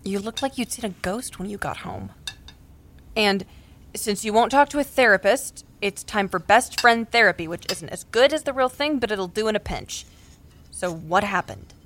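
A woman's voice: mid-range voice, animated, normal speed, a bit bright. Woman acting blunt, caring best friend. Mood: probing, light. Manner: direct, casual, insistent. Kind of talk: naturalistic audio drama acting.

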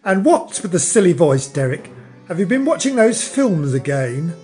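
A man's voice: high-pitched, very animated, moderate pace, darkish tone, faint music behind, pitched above the performer's own voice. A man voicing an overbearing, blunt older mother who scolds and nags her grown son in a storybook reading. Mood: exasperated, scolding. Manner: brash, nagging, exclamatory.

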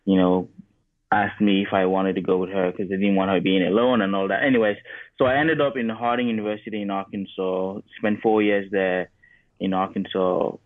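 Low man on a tinny line. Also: flat delivery, normal speed, no echo. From Cameroon. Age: 20s. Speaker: podcast guest, software engineer and photographer. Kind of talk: casual interview answers. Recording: narrow tinny phone-like line.

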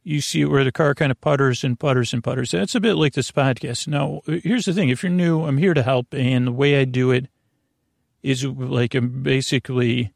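A man hosting a sleep podcast in a rambling, goofy bedtime monologue, halting often, trailing off and restarting in friendly banter. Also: mid-range voice, gravelly, no echo, clean studio sound.

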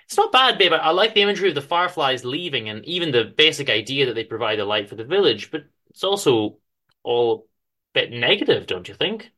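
A man voicing a caring, gently worried wife in an affectionate, hesitant way, in a story reading. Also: mid-range voice, animated, a little fast. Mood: gently concerned.

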